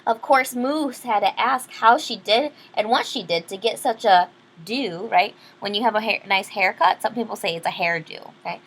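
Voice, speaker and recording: A woman reading a children's picture book aloud expressively, pausing to explain things to young listeners. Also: medium pitch, fairly bright tone. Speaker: read-aloud storyteller.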